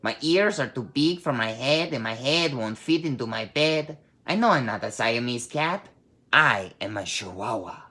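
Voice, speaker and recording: A storybook reader voicing an excitable, boastful little boy kitten with a big imagination. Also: mid-range voice, moderate pace. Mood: amazed, dramatic. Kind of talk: storybook read-aloud character voice.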